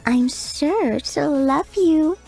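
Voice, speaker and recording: Giggly flirty maid. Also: female voice, sits high, expressive, extremely slow, creaky, dark tone, faint music behind, exaggerated voice, low-quality recording. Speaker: chambermaid character. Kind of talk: video game voice lines.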